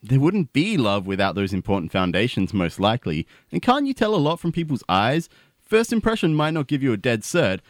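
A man's voice: medium pitch, dry recording, clean studio sound, natural voice. A man playing a cocky, vain know-it-all in a comedy audio drama, glib and needling as he lectures.

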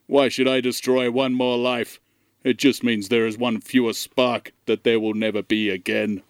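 A man's voice: medium pitch, little pitch movement, normal speed, clear, resonant tone, no room sound, studio quality, close to an everyday voice. A man playing an ancient disembodied alien intelligence in a comedy audio drama, speaking in a formal, ponderous, melancholy way. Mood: mournful. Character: gloomy ancient disembodied male alien intelligence facing death.

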